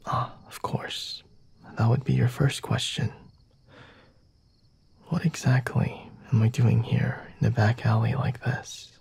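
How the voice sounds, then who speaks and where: A man playing a flirty, self-assured vampire lord in an ASMR roleplay, speaking softly and formally in a breathy, often whispered voice with a teasing manner. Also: mid-range voice, level tone, takes it slowly, long pauses, no echo, full character voice. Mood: patient, flirty. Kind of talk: ASMR roleplay, intimate dramatic monologue.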